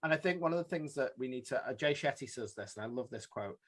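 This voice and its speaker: A man hosting a podcast, talking in an earnest, quick way and cutting in with his own thoughts. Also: mid-range voice, animated, husky. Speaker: podcast host. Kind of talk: podcast interview.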